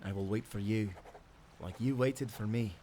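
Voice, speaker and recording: A man voicing a gentle, patient female angel in a fairytale, solemn. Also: on the low side, moderate pace, slight background noise, lightly acted. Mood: tender. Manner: solemn, storybook dialogue.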